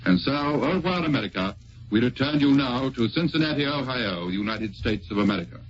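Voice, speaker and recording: A man's voice: medium pitch, expressive, fairly quick, slight background noise. Formal 1940s radio announcer, muffled man.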